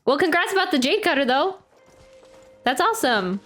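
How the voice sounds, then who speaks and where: Chatty woman streamer with higher-pitched voice. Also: very animated, normal speed, fairly bright tone. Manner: chatty, thinking aloud, reading and answering chat. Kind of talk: casual live game-stream chat. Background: faint game music.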